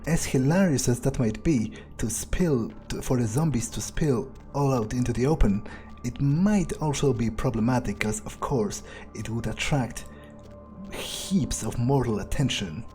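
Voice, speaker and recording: A man's voice: mid-range voice, unhurried, warm tone. A man doing an in-character game playthrough, musing aloud with plenty of swearing and hesitant phrasing. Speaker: gamer playing in character. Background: game music.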